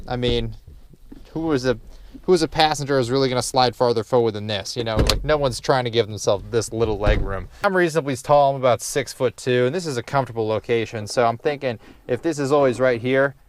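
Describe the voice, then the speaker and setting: A man's voice: mid-range voice, fairly quick, clean tone. A man giving a casual, unscripted DIY how-to narration in a rambling, wry, casually profane way inside a car. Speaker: DIY car project host.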